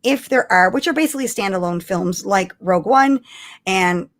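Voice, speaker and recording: A woman's voice: medium pitch, moderate pace. A woman chatting casually and expressively as co-host of a fan livestream.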